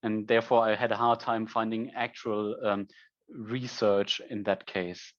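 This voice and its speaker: A young man in his twenties from Germany, speaking English with a German accent, giving a conference presentation and filling pauses with frequent ums. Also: lowish voice, little pitch movement, muffled recording. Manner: frequent ums, self-corrections.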